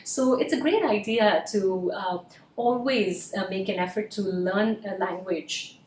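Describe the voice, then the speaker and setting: A woman's voice: mid-range voice, measured pace, a little mellow, recorded from a distance, slight background noise. A woman from India, a Hindi native speaker, giving earnest casual advice as a cabin crew interview coach, with many "uh" fillers. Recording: roomy echo, voice a bit off-mic.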